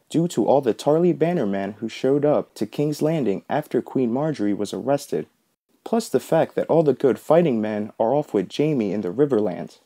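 A man's voice: mid-range voice, mellow. A man narrating a scripted book analysis as the host of a video essay.

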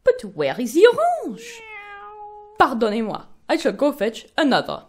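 High, swooping monkey painter. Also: female voice, wildly swooping pitch, moderate pace, breathy edge, exaggerated voice. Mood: baffled, exasperated. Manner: exaggerated, theatrical, talking fondly to a pet. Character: fussy, theatrical French monkey painter puppet, fond of cat. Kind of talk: children's puppet show acting.

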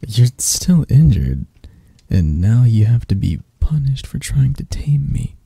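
Husky, dark-voiced predatory monster lover played by a man. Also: very animated, dry recording, exaggerated voice. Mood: playfully threatening. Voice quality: husky, breathy, gravelly low voice. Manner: slow, teasing murmur with soft chuckles and near-whispers. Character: predatory yandere spider-creature lover, teasing, possessive, tender. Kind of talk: intimate ASMR roleplay acting.

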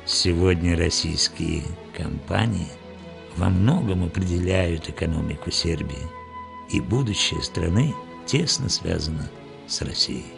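A man reading scripted documentary narration in a measured, formal way. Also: deep voice, animated, vocal fry. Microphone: studio voice-over. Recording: voice-over with music underneath.

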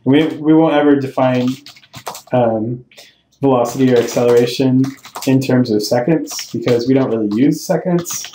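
A man's voice: mid-range voice, roomy sound, noisy background. A man teaching programming over a screen recording, thinking aloud and correcting himself as he explains each step. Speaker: programming tutorial host. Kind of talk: screen-recorded coding tutorial. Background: keyboard typing and clicks.

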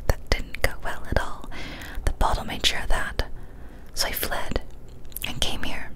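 A young woman whispering softly and breathily, close to the microphone, in a careful, hushed ASMR storytelling style. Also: a little slow. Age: young adult. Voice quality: soft, breathy unvoiced whisper. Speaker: ASMR creator reading scary stories. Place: small decorated room, indoors. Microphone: close studio microphone on a boom arm.

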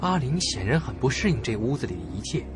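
Animated male suspense storyteller. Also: mid-range voice, fast talker, over music. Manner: expressive, theatrical storytelling. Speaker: first-person narrator of a horror story. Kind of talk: dramatic suspense audio-drama narration.

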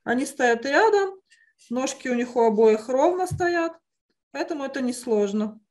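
High-voiced woman teaching children to draw. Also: lively intonation, normal speed, darkish tone. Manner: encouraging, uses affectionate diminutives. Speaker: art teacher. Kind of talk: online drawing lesson for children. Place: indoor room, on a video call.

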